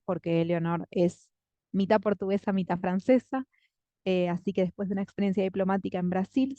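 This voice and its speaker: A woman's voice: mid-range voice, dark tone, dry recording. A woman coordinator introducing a guest speaker in an online class, friendly and chatty with many fillers. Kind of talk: spoken introduction in a video class. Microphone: video call. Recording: dull lo-fi call audio.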